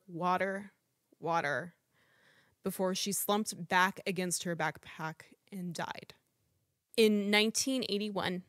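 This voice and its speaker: A woman's voice: fairly low pitch, fairly bright tone, dry recording, studio quality. A woman hosting a podcast, reading a prepared true crime script and apologizing for how she says foreign names. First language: English. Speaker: podcast host.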